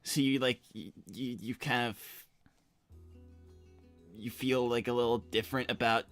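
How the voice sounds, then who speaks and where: A man's voice: mid-range voice, steady tone, fairly bright tone, faint music behind. A man voicing an insecure, earnest young hedgehog who rambles, stammers and repeats himself in improvised livestream roleplay. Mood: hesitant, stunned. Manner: halting, stammering, repeats words.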